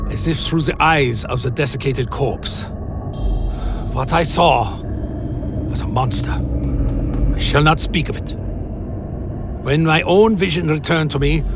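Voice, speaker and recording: A man playing a haunted, wary adventurer who tells his own dark fantasy tale in a radio play, in solemn literary storytelling. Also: a little high. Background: music.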